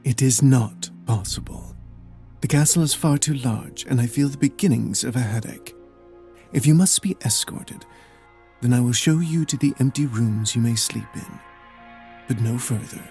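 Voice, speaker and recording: A man's voice: mid-range voice, moderate pace, dark tone, soft background music, lightly acted. A man playing an aloof, solitary lord of an icy castle in an audio drama, speaking in a curt, formal and exacting way.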